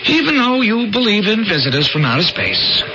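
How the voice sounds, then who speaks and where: An American actor playing an eager, stubborn small-town newspaperman in an old radio drama, with an excitable, stammering delivery. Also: sits high, fairly quick. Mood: teasing, earnest. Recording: muffled, noisy old radio recording.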